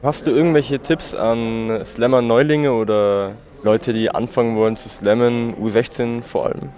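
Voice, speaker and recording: A man's voice: mid-range voice, steady tone, normal speed, darkish tone. A man asking a slam poet interview questions for a youth radio station in a plain, friendly way.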